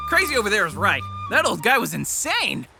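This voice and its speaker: A woman's voice: mid-range voice, wildly swooping pitch, brisk, gravelly, pushed and bright, faint music behind, heavily acted. A woman voicing a cocky, glory-hungry young boy in a cartoon parody, brash and eager. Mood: blunt. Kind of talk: comedic animated parody voice acting.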